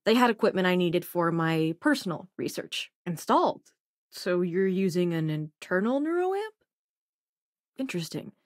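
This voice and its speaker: A woman's voice: lowish voice, big pitch swings, moderate pace, no echo, studio quality. A woman playing a sassy, self-assured young scientist and smuggler companion in a space video game, with a wry, casual manner. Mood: cool, teasing. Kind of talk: acted video game dialogue lines.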